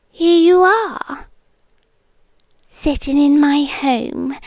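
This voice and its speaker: An age-old female monster with a possessive, teasing streak played by a woman in an ASMR roleplay. Her British voice is high and hushed, often slipping toward a whisper. She takes her time with every phrase, close to the mic in a very dry recording. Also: no echo, heavily acted. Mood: wistful, ominous. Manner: slow, hushed, deliberate, often dropping into whispers. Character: ancient female predator fae, possessive, teasing yandere girlfriend. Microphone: close microphone, dry.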